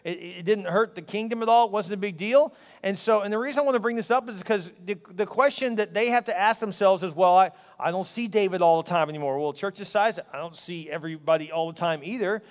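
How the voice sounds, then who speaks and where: A pastor in his forties preaching a sermon to a large congregation, energetic and conversational, with quips thrown at the crowd. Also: high voice, fast, few pauses. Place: large church auditorium. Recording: long hall reverb.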